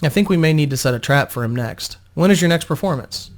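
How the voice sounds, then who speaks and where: A man's voice: a little high, animated, fast talker. A man voicing a composed, protective female adventurer in a fantasy story, reassuring and matter-of-fact. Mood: calm, planning. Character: composed, protective woman adventurer, soothing and decisive. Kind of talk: dramatic fantasy story reading.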